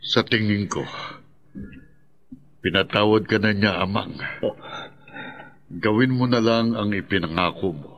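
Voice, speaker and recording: An actor playing a grave, steady man giving counsel in a Filipino radio drama. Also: fairly low pitch, unhurried, long pauses, highs cut off. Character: grave, steady man urging a wrongdoer to surrender.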